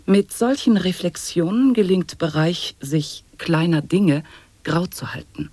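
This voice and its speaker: An actor voicing a reciter of absurd nonsense wordplay with a husky voice, deliberately enunciated, in an avant-garde radio play. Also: male voice, on the high side, darkish tone, no echo.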